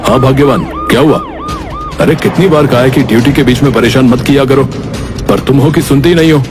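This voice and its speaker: A man's voice: mid-range voice, a little fast, music in the background, close to an everyday voice. Man acting a firm cartoon police inspector. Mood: exasperated. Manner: firm, commanding. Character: stern male village police inspector, authoritative.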